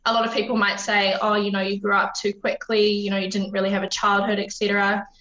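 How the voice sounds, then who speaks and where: A woman's voice: medium pitch, monotone, fast talker, a bit bright, roomy sound, lo-fi sound. A woman in her twenties with a New Zealand accent, a podcast guest telling her life story openly and reflectively, with lots of "um" fillers. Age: 20s. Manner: open, reflective, many "um" fillers. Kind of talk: casual conversational storytelling.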